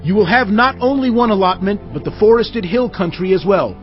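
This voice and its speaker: A man's voice: high voice, expressive, brisk. A man playing a commanding Israelite leader with emphatic, oratorical delivery in a dramatized audio Bible. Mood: resolute, rousing. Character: Joshua, commanding male Israelite leader addressing his tribes. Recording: muffled audio with music behind.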